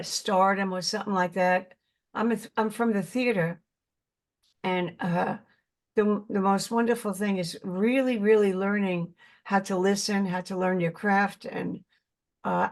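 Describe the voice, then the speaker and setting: Older New York woman, mid-pitched, earnest, halting guest talk. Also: steady tone, gravelly. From Brooklyn, New York. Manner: earnest, halting, repeats words while searching. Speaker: actress guest. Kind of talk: casual interview chat.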